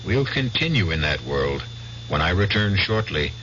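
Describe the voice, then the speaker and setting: A man hosting a radio drama with deliberate, ominous, theatrical scripted narration. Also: deep voice, big pitch swings. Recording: dull, humming, noisy old radio broadcast.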